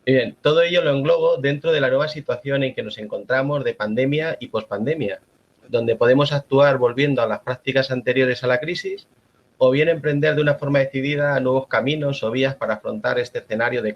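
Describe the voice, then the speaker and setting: A man from La Mancha with a Spanish accent giving a formal, measured conference talk as an agronomist. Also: mid-range voice, muffled recording. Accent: Spanish (Spain). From La Mancha, Spain. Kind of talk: prepared conference presentation.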